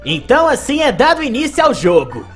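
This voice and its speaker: A woman's voice: sits high, huge pitch swings, fairly quick, breathy edge, soft background music. A woman with a Brazilian accent giving casual, playful scripted commentary as a chatty video game host.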